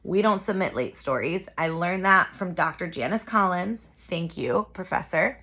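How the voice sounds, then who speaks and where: A young woman telling a story in a casual vlog, chatty and animated, talking straight to her viewers. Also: lowish voice, moderate pace, husky. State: congested from a cold. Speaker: vlogger and poet.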